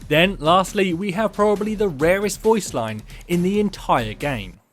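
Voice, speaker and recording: A man's voice: sits high, animated, unhurried, faint music behind. A man hosting a video, reading scripted narration. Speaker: video host. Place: close mic voice-over with music behind.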